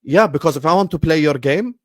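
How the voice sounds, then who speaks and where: Higher-voiced man, dry close sound, teasing and sarcastic in a football argument. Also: lively intonation, fast, no echo. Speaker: podcast co-host. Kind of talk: heated casual football debate.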